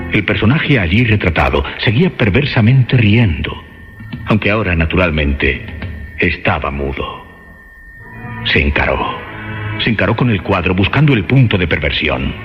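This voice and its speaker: Low-voiced theatrical man narrating horror. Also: very animated. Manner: theatrical, suspenseful, emphatic with dramatic pauses. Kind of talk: dramatic horror radio play narration. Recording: dull lo-fi audio under music. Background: dramatic music.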